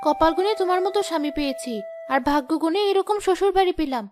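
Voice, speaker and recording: A woman's voice: very high voice, fast. A woman voicing a hardworking, defiant young wife in a cartoon, aggrieved and protesting. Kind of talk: cartoon voice acting. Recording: music behind the voice.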